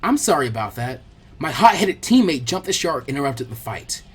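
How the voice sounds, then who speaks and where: A storyteller voicing a brisk, easily annoyed but friendly teenage girl who talks in a blunt, casual way. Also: medium pitch, big pitch swings, husky. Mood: concerned.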